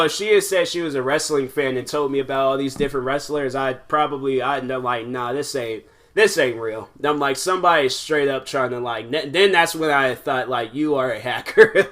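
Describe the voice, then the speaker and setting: A man in his twenties hosting his own podcast, talking casually and rambling with lots of fillers. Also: medium pitch. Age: 20s. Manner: rambling, animated, many fillers. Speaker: podcast host. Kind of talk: casual solo podcast chat.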